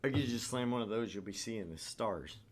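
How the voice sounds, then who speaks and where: A man's voice: on the low side, expressive, brisk. A man co-hosting a gaming channel, bantering casually and reading game text in a blunt, teasing way.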